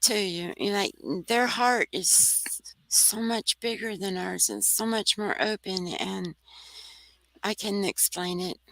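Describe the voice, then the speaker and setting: A soft-voiced woman, a guest on a talk radio show, telling a personal story in an earnest, rambling way. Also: mid-range voice, takes it slowly, gravelly, dry recording, rough recording. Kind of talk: casual storytelling.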